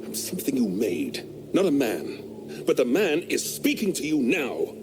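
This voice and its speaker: An eerie, hushed servant possessed by a presence. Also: male voice, mid-range voice, wildly swooping pitch, noisy background. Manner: slow, hushed, menacing. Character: eerie male servant speaking as an uncanny presence. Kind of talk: radio drama acting.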